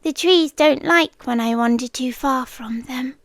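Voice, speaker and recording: A woman's voice: high-pitched, measured pace, dry recording. A British woman playing a playful, tender, possessive young forest monster girl in an ASMR roleplay, teasing and intimate, often slipping toward a whisper. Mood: tender, longing.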